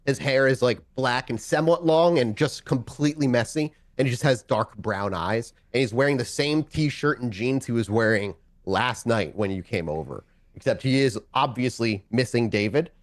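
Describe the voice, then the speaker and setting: A man's voice: medium pitch, normal speed. A man improvising narration as game master of a tabletop game, talking in a casual, conversational, sometimes profane way.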